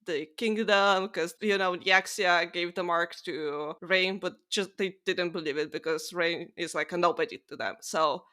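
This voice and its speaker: Woman podcast cohost, really bright voice, casual book chat, swears a lot, says like constantly. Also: lowish voice, unhurried, hardly any pauses. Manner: informal, sweary, peppered with "like". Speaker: podcast co-host.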